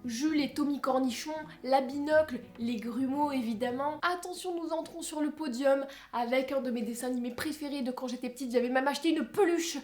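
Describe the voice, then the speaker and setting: Une femme à l'français qui parle face caméra comme une vlogueuse, de façon exclamative, joueuse et théâtrale. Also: female voice, sits high, fairly quick, few pauses, darkish tone. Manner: exclamatory, playful, theatrical emphasis. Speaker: vlogger presenting a countdown. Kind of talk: animated casual chat to camera.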